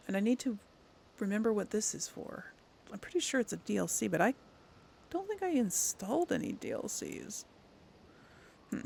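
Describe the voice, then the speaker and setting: A woman's voice: fairly high pitch, gravelly, slight background noise. A woman giving casual let's play commentary, chatting and thinking aloud as she goes. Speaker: gaming channel host.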